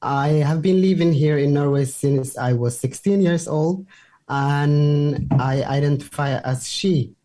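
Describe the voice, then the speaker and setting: A young woman from Somalia talks in English, a to her, with a mellow, relaxed tone. She is unhurried and stops to find her words. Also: deep voice. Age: 20s. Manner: unhurried, searching for words in a second language. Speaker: guest. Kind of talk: casual interview talk.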